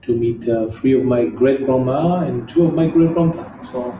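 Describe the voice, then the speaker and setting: A middle-aged man from southern France with a French accent, answering interview questions casually and stopping often to find English words. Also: mid-range voice. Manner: halting, searching for English words. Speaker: guest, kickboxing champion. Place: gym. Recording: echoey room, distant muffled mic, faint hiss.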